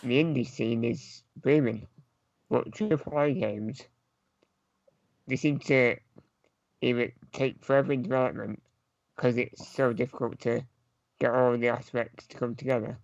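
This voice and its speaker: A man's voice: mid-range voice, fairly even intonation, a little slow, creaky, long pauses, no room sound. A man talking casually as a panellist on a gaming podcast, in a dry, slightly dull recording.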